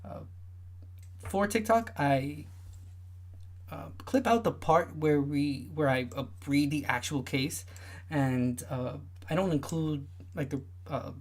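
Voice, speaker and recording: High-voiced man hosting a livestream. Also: takes it slowly. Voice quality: high-pitched voice, often mistaken for a woman. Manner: rambling, many filler sounds and restarts. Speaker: livestream host. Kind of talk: casual livestream chat.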